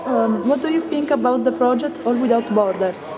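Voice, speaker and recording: Female interviewer, higher-pitched voice, brief prompts, crowd chatter clearly audible behind. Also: moderate pace, low-quality recording. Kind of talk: short interview questions.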